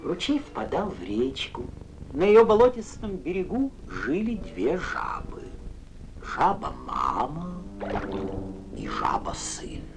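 A woman narrating a scripted fairy tale in a gentle, measured storytelling manner. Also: low voice, wildly swooping pitch, gravelly, background noise.

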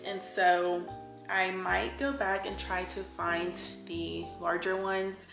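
A woman with a sore throat talks through her holiday decor finds in a relaxed, chatty vlog tone. Her voice sits low and has a gravelly fry. The room is roomy and echoey, and music plays underneath. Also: slow. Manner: chatty, enthusiastic, filler-heavy. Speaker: home decor vlogger. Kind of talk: casual haul chat. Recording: roomy echo with background music.

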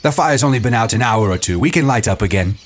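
A man voicing a proud, excitable boy who leads hunters in a storybook, boastful and eager. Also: on the low side, fast talker, voice pushed up, lightly acted. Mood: uneasy, defensive.

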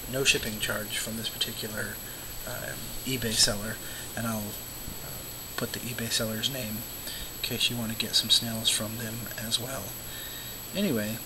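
Hoarse, scratchy-voiced man talking casually. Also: medium pitch. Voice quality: scratchy, often dropping to a whisper. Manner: relaxed, rambling, many fillers. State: hoarse, losing his voice from illness. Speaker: aquarium vlogger, show host. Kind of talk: casual talk to camera.

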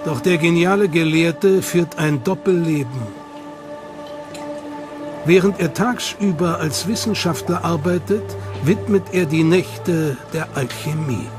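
A man giving measured, scripted documentary narration. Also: fairly high pitch. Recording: voice-over with loud music behind.

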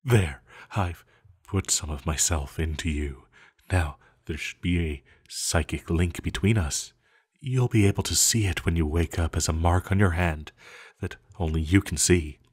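An ancient male villain played by a man speaks very low and very slowly, as if in an intimate audio roleplay. He is seductive and possessive, teasing as he schemes, with sighs and lingering pauses. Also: breathy edge. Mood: urgent, possessive.

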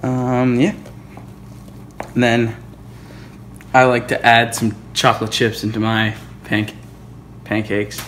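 A man casually walking through making food in a home kitchen, relaxed and correcting himself as he goes. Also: medium pitch, slow, pauses often, slight background noise. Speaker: home cook. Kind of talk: casual cooking demonstration. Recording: clear music behind the voice. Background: music.

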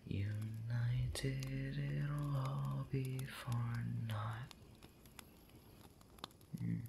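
Guy doing sleepy late night asmr rambling, half whispering, lots of vocal fry, hesitant, bit of background ambience. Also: mid-range voice, darkish tone, a little hiss or hum. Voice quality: breathy, often whispered. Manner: hesitant, pensive, drawn-out pauses and murmured hums. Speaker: audio roleplay channel creator.

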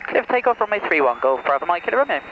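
A man delivers clipped radio calls from a small cockpit. His voice is high and extremely fast, with clear noise around the headset sound. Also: big pitch swings. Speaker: pilot making radio calls. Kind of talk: clipped air traffic radio readbacks. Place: small light aircraft cockpit. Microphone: aviation headset boom mic. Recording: noisy aviation headset radio.

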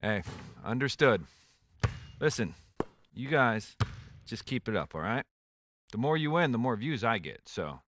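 A man's voice: medium pitch, very animated, measured pace, pauses often. A man playing a friendly, nosy sports reporter in a video game, with an American accent. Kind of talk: naturalistic video game cutscene acting. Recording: noisy gym with bouncing ball clicks.

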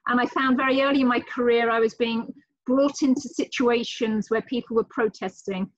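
A woman's voice: fairly high pitch, fairly even intonation, normal speed, no echo. A middle-aged woman from the UK with a British accent, speaking articulately and enthusiastically as a guest on a live video call. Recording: dull lo-fi call audio.

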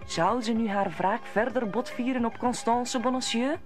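A woman's voice: a little high, normal speed, soft background music, close to an everyday voice. A woman narrator telling the story in an old Dutch radio drama, in scripted storytelling style.

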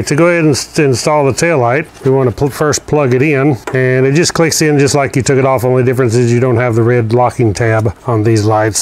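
A man talks through a hands-on install in a casual, practical way, working as he speaks. His voice sits in a middle pitch. He is recorded on a camera mic in a garage, with a faint hum of ambience behind him. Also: darkish tone. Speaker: installer demonstrating a product. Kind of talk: casual how-to walkthrough. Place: garage, indoors.

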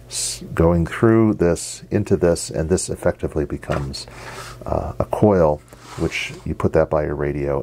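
Casting: male hobby presenter, very deep and mellow, halting casual explanations, slight background noise. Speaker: hobbyist video host. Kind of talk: casual hands-on demonstration. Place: indoors at a wooden desk. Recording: faint hum and background noise.

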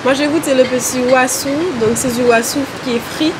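A woman's voice: high-pitched, fairly even intonation, brisk, noisy background. A woman chatting informally and emphatically as co-host of a food vlog. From Guadeloupe. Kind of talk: casual vlog chat, tasting food.

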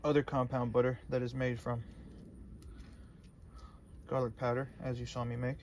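A man's voice: medium pitch, little pitch movement, normal speed, darkish tone. A man in his twenties hosting a casual cooking vlog, talking in a slangy, jokey way and repeating his phrases. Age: 20s. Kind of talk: casual chat to camera while cooking. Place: mostly outdoors in a backyard by a grill. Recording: noisy outdoor phone-camera audio.

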